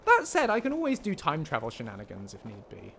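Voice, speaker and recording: Animated man rambling on a game stream. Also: mid-range voice, fast talker, gravelly, slight background noise. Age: younger than 50. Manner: rambling, thinking aloud. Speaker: livestreamer, mod creator and voice actor. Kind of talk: casual livestream chat while playing a game. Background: video game music and character dialogue.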